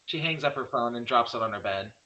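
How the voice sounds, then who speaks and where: A man narrates a horror screenplay, reading scene headings and action plainly. His voice is medium in pitch with a bright, forceful edge. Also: quick pace, lo-fi sound. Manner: plain stage-direction reading. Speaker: script narrator.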